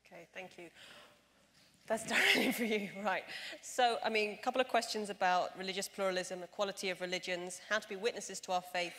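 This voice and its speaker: Female panel moderator, medium-pitched voice, informal, large echoing hall. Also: steady tone, brisk, fairly bright tone, a little hiss or hum. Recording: long hall reverb.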